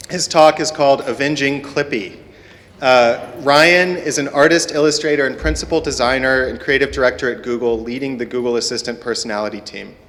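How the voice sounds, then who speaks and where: A man's voice: medium pitch, a bit bright. A man introducing panel speakers at a conference, reading from prepared biographies. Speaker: panel moderator. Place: conference hall at a university symposium. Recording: echoey hall with long reverb.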